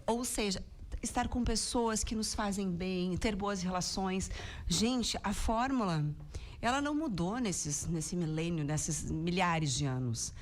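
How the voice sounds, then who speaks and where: A woman's voice: medium pitch, a little slow. A Brazilian woman with a cold, a radio guest talking reflectively in casual chat, often ending phrases with a questioning tag. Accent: Brazilian Portuguese. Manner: warm, reflective, frequent "né?" tags. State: has a cold. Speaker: radio guest commentator on happiness. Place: radio studio.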